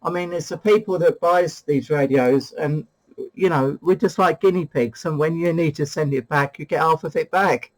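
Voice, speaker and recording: A man's voice: fairly high pitch, normal speed, poor audio. A British man hosting a CB radio video, chatting casually and hesitantly, often repeating his phrases. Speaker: host of a CB radio review video. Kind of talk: casual two-way radio chat.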